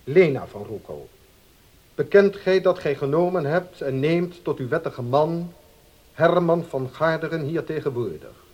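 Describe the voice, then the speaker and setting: A formal wedding officiant in a radio play. His voice sits a little high for a man. He declaims with solemn, ceremonial gravity. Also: expressive, a little slow, a little mellow. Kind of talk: radio drama acting.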